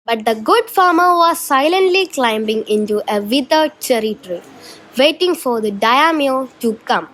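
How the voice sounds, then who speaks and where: A woman's voice: sits high, lively intonation, measured pace, fairly bright tone. A woman reading scripted storybook narration for a shadow puppet show. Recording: noisy amateur recording.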